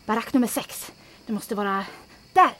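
An actress playing a bold, adventurous resistance captain who gives brisk commands with dry asides. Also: female voice, fairly high pitch, expressive, a bit bright. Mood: commanding. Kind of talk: audio drama acting.

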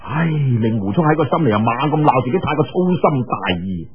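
A man's voice: mid-range voice, animated, quick pace, lo-fi sound. A man with a Cantonese accent telling a martial-arts story in a dramatic, colloquial audiobook style, full of exclamations.

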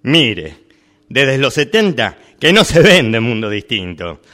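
A dry, matter-of-fact shopkeeper, played by a man. He lets his pitch rise and fall freely but takes his time. It is delivered as a calm, patient sales pitch. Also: takes it slowly, exaggerated voice. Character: weary male shopkeeper selling worlds. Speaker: workshop radio drama actor. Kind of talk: amateur radio drama rehearsal.

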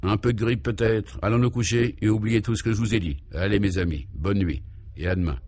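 A troubled male governor from a radio serial, played by a man, speaks in a lowish voice. He is solemn and anxious, halting as he ruminates and searches for his words. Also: fairly even intonation, a little mellow, lightly acted. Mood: weary, foreboding.

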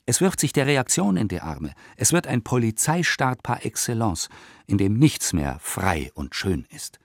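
An actor in a radio play reciting an 1890s newspaper polemic as an impassioned, indignant reader with emphatic rhetorical delivery. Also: male voice, fairly low pitch, very animated, a little slow, gravelly, no room sound, clean studio sound, exaggerated voice. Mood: impassioned outrage. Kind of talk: dramatic radio play recitation of historical press text.

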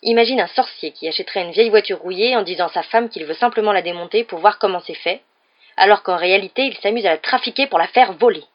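A woman voicing a sharp-tempered wizard mother in a storybook, scolding with emphatic, accusing indignation. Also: medium pitch, quick pace, muffled recording, natural voice. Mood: sarcastic, angry.

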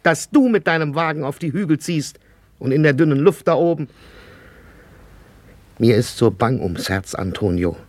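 An actor playing a gentle, melancholy older flower seller in a German radio drama, with a measured, lyrical, wistful manner. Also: male voice, a little high, lively intonation, no echo. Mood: wistful pleading. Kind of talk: German radio play acting.

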